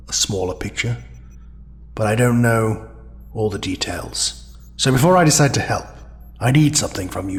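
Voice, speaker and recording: A man playing an ancient, solemn alien sage in an audio drama, speaking in a measured, deliberate way. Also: on the low side, close to an everyday voice.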